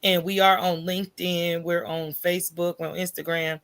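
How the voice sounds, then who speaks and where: A woman's voice: lowish voice, level tone, normal speed, a bit bright. Casual American woman chatting as guest. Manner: informal, colloquial, checks in with "right?" and "you know". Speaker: podcast guest, coffee business owner. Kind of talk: casual conversational interview answers.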